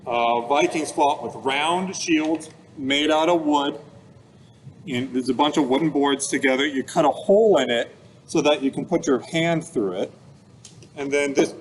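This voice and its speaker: Man explaining casually, noisy background. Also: on the high side. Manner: casual, plain-worded explanations with everyday comparisons. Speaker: museum expert and presenter. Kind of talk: informal explanatory talk for children.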